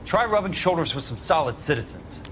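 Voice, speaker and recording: An actor playing a tough, sardonic investigator in a 1940s detective game, wry, terse and blunt. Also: male voice, on the high side, big pitch swings, a little fast, a bit bright, slight background noise. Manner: wry, terse, hard-boiled.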